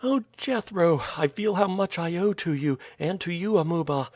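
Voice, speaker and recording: A man voicing an anxious young girl, high-pitched. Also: normal speed, a little mellow, no echo. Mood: grateful. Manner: pleading, emotional. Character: young noble girl, frightened and tender. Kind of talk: audiobook reading.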